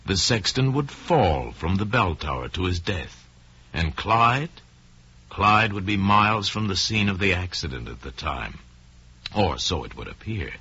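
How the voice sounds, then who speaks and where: A man narrating a crime story in old-time radio style, deliberate and suspenseful, with dramatic pauses. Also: low-pitched, expressive, sharp tone, husky. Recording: dull, lo-fi old radio recording.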